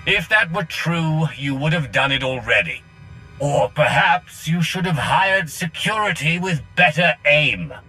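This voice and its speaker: Casting: wary, sharp-tongued game warrior, tense higher-set voice, faint music. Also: male voice, expressive, a little slow, poor audio. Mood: scornful. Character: defiant, terse male fighter, sharp-tongued and wary. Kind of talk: video game cutscene acting.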